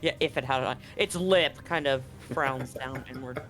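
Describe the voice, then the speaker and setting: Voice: medium pitch, normal speed, fairly bright tone, soft background music. A game master running a live tabletop roleplaying game, talking casually and jokily with some swearing.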